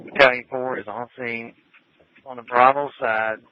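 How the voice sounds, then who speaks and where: A man's voice: mid-range voice, flat delivery, moderate pace, no echo, dull audio. A man working as a firefighter, giving brief status reports over two-way radio. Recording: band-limited emergency scanner radio.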